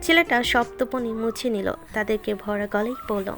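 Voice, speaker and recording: A woman's voice: a little high, steady tone, normal speed, fairly bright tone. A woman reading scripted narration of a romantic story, with music behind her voice.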